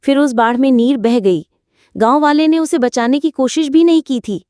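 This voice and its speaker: A woman's voice: sits high, moderate pace, dark tone, no echo, studio quality, close to an everyday voice. A woman playing a serious young village woman who explains things in a cartoon horror story. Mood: grave, explanatory.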